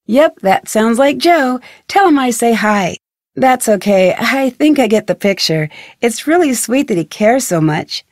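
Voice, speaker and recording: A young female amateur detective from a mystery video game. Her voice is on the high side and recorded dry and close. She talks in a friendly, casual, clearly spoken way, curious and level-headed. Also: darkish tone, no echo. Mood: warm. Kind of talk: video game voice acting, conversational phone dialogue.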